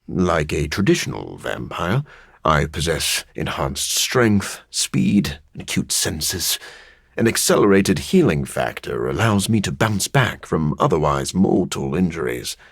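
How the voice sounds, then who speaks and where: A man voicing a brooding, intellectual vampire scientist in a video game, formal and articulate with dry wit and a gravelly, husky voice. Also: sits low, big pitch swings, unhurried, no room sound, exaggerated voice. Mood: measured, explanatory. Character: brooding, intellectual adult male vampire scientist, dryly witty. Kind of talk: video game character dialogue.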